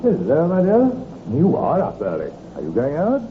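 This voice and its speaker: Slick conman husband, cajoling and bullying, hugely animated delivery, vintage radio play on narrow-band audio. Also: a little high, moderate pace. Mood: breezy, evasive. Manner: glib, falsely breezy, cajoling. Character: smooth-talking male swindler, glib, bullying, master of house. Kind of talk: 1950s radio drama acting. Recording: tinny, noisy old radio recording.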